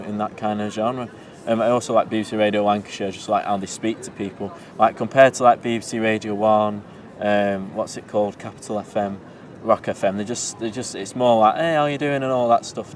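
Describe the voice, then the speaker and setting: A man's voice: lowish voice, recorded from a distance, a little hiss or hum. Laid-back young man. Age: young adult. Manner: easygoing, rambling, many fillers. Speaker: student radio presenter. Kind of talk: casual interview talk. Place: outdoors in a city.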